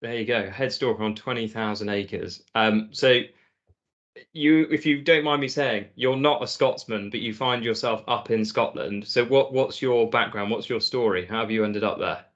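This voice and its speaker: A man's voice: on the low side, normal speed, a bit bright. A man hosting a podcast, asking questions in a friendly, self-deprecating way. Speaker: podcast host. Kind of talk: casual podcast interview. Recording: slightly dull, lo-fi audio.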